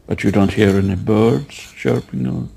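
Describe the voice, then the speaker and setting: A man leading a guided meditation, giving halting, unhurried instructions and asking gentle questions. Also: fairly low pitch, gravelly, slightly rough.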